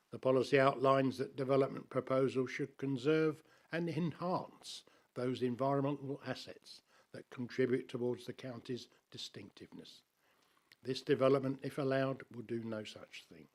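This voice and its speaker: A man reads a prepared objection to a committee in a council chamber, measured and formal. He slips in a few dry asides. His pitch sits in an ordinary middle range. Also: darkish tone. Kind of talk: reading a prepared statement to a committee.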